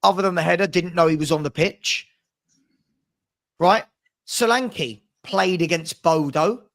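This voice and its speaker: A man's voice: high-pitched, a little slow, gravelly, fairly bright tone. A man co-hosting a football fan show, arguing his point in a heated casual debate, repeating himself to drive it home. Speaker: co-host of a fan podcast.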